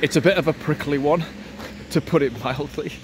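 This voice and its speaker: A man's voice: on the high side, steady tone, normal speed, noisy background. A man in his thirties, a language teacher, talking in a playful, self-assured way in a casual vlog recorded outdoors. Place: outdoors in a city street, by a wall.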